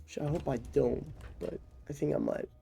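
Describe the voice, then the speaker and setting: A man's voice: fairly high pitch, fairly even intonation, normal speed, vocal fry, dark tone, a little hiss or hum. A young man from Norway who is sick with a cough, chatting loosely with viewers while streaming a game, with lots of fillers and restarts. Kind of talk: casual chat with stream viewers while gaming.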